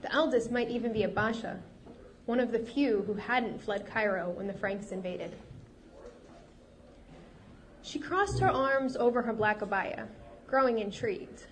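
A woman author reading her novel aloud at a live event, steady and expressive, her voice a little off while she gets over a cold. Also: on the high side, measured pace, pauses often. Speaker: fantasy author.

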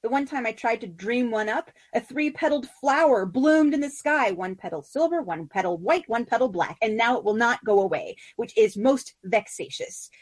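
Woman doing a petulant fae spider queen, gushing, high and lively. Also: moderate pace, hardly any pauses, slightly rough. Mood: wistful. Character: excitable young fae spider queen, wife.

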